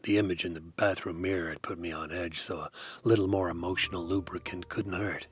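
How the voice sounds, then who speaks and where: Deep-voiced deadpan noir narrator, man. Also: a little fast, faint music behind. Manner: dry, deadpan, wry. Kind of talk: hardboiled detective noir narration.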